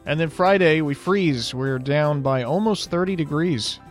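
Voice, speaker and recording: A man's voice: fairly high pitch, unhurried, soft background music. Tired-voiced male radio host. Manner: easygoing and rambling, with frequent "uh"s. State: tired, fading voice. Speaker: radio call-in show host. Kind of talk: casual call-in radio talk.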